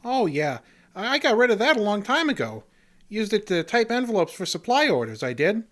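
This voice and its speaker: A man's voice: high-pitched, sing-song, brisk, a bit bright. An actor playing a folksy, punch-drunk custodian in a comic radio play, jittery and echoing the ends of his own phrases. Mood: defensive, evasive. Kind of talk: old-time radio comedy acting.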